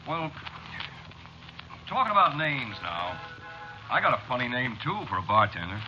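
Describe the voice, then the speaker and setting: An actor playing a folksy, teasing, ominous bartender with a sly, drawn-out manner, in 1940s radio horror style. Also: male voice, medium pitch, big pitch swings, over music, close to an everyday voice. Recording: dull, noisy old radio recording.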